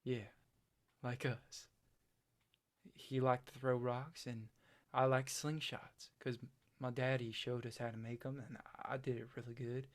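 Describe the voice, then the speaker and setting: A man's voice: medium pitch, little pitch movement, natural voice. A man acting a hesitant farm boy. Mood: wistful. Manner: halting, hesitant, plain-spoken. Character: haunted, self-doubting rural farm boy confiding in his friend. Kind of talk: stage play acting.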